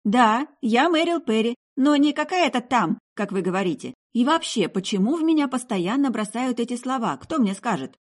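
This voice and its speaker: A woman voicing an odd, chatty young sorceress in an audiobook, breezy and quick to take offence. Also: on the high side, lively intonation, pitched above the performer's own voice. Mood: exasperated. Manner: breezy, indignant, talkative.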